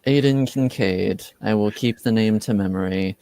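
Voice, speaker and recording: A man voicing an emotionless, dutiful mage servant who speaks in a flat, literal, formal way in a tabletop game. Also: lowish voice, moderate pace, dry recording, natural voice.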